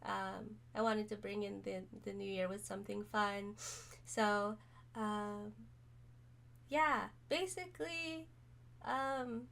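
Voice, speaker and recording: Hoarse gravelly woman chatting casually. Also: medium pitch, steady tone, slow. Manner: rambling, many ums, soft-spoken. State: hoarse, recovering from illness. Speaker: streamer. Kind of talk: casual chat to camera.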